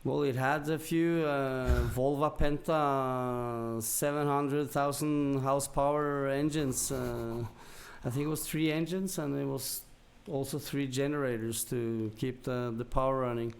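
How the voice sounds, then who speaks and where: A man's voice: medium pitch, fairly even intonation, measured pace, hardly any pauses. A man from Norway with a Norwegian accent, telling anecdotes casually as a podcast guest and searching for his words in non-native English.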